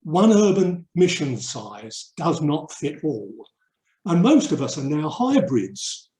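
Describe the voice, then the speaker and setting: Older english guy doing a thoughtful talk online, fairly high mellow voice, earnest, roomy echo. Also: very animated, slow pace. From England. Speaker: urban mission speaker and theologian. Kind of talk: reflective webinar talk. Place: indoor room, online webinar. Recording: roomy, echoey webinar audio.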